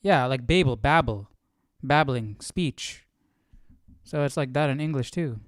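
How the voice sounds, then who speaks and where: A man's voice: medium pitch, a little slow, no echo, studio quality. A man hosting a livestream, chatting casually and thinking aloud in a rambling way. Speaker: livestream host of a Bible study series.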